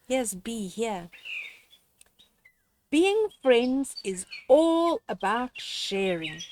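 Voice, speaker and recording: An older woman reads a children's storybook aloud with very lively, swooping intonation. She takes her time, pausing deliberately and speaking straight to the young listener in a gently instructive way. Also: on the high side, a little hiss or hum. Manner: expressive, with deliberate pauses and dramatic gasps.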